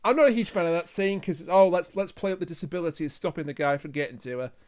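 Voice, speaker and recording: A man's voice: on the high side, very fast. A man co-hosting a casual review discussion, talking informally with others. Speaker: podcast co-host reviewing audio dramas.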